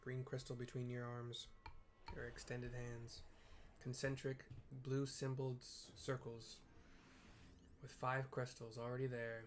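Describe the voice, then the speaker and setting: A man's voice: mid-range voice, monotone, a little slow, soft background music. A man playing a video game and casually describing what happens on screen for listeners, with loose, hesitant phrasing. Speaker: player narrating a game. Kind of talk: casual live audio description of gameplay.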